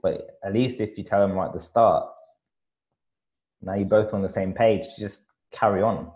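Deep-voiced man, halting and lo-fi. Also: steady tone, a little mellow. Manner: halting, with many fillers and repetitions. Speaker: podcast guest. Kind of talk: casual conversation.

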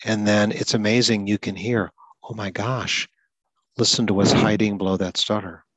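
Low-voiced earnest man, dry close mic. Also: fairly even intonation, normal speed, slightly rough, no echo, rough recording. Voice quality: person who stutters. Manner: earnest, explanatory, occasional repetitions. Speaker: podcast host. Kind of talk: advocacy talk about stuttering.

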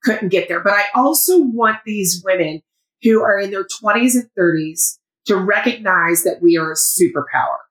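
A woman in her fifties, a guest in an interview, talking loudly and with enthusiasm. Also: medium pitch, animated, normal speed, echoey room, poor audio. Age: 50s. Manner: loud, emphatic, enthusiastic. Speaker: guest, founder of a women's community. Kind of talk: conversational interview.

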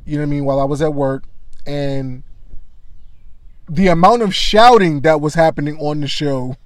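A man with a naturally loud, slightly high voice talks through his views on sports media in a relaxed, conversational way. He keeps checking in with the listener as he goes. The sound is close and dry, with no room around it. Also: normal speed, no echo. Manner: conversational, frequent "you know what I mean". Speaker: commentator. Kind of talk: casual sports media commentary.

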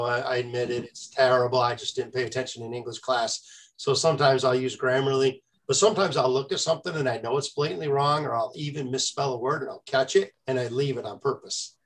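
A man's voice: mid-range voice, steady tone, fairly quick, low-quality recording. A man hosting a podcast, talking in a conversational way with frequent stammered restarts. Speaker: podcast host. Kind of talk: casual podcast interview.

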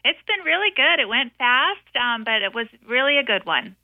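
A woman's voice: on the high side, sing-song, moderate pace. Young-sounding woman on a phone line. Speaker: animal shelter guest presenting pets for adoption. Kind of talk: conversational radio interview. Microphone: telephone. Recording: thin phone line into radio studio.

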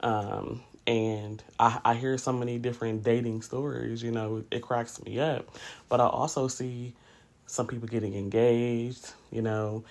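Late 30s guy doing a casual reflective podcast, gravelly fry in the voice, mid pitch, chatty and candid. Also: steady tone, a little slow, a little mellow. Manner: candid, conversational, often says "you know". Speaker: podcast host.

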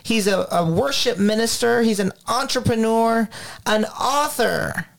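A man's voice: extremely high pitch, a little slow, gravelly, fairly bright tone. A man from the Philippines co-hosting a faith talk radio show, eager and stumbling a little over his words. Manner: eager, repeats words as he starts sentences. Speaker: radio co-host.

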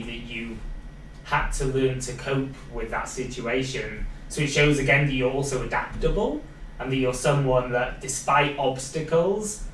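A man's voice: medium pitch, fairly bright tone. A young Welsh man hosts a casual interview, speaking in an encouraging, reflective way with plenty of "like" and "you know". He sits some distance from the mic in a roomy space, with a faint hum of noise behind him. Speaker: podcast host interviewing a young athlete.

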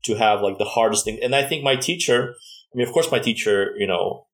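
A man's voice: fairly low pitch, lively intonation, a little fast, fairly bright tone, rough recording. A man from Russia with a slight Russian accent, speaking as a guest in a casual conversation, thoughtful and hesitant with many fillers and restarts.

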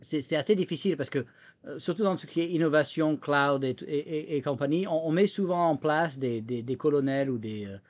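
A man's voice: fairly high pitch, fairly quick. A man from France with a slight American tinge to his French accent, giving explanatory interview answers and often repeating words as he searches for the next. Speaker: technology entrepreneur and former government official, interview guest.

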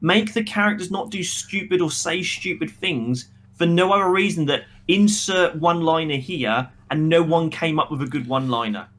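A man with a slightly high-pitched voice talks as a podcast co-host. His review chat is casual and opinionated. He makes his points bluntly and with emphasis, in a critical way. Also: normal speed, few pauses, a bit bright.

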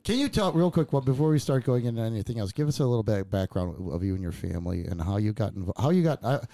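A man's voice: lowish voice, expressive, fast, mellow, dry recording. A man hosting a casual podcast chat, joking around and rambling with lots of fillers and restarts. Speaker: podcast host. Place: studio.

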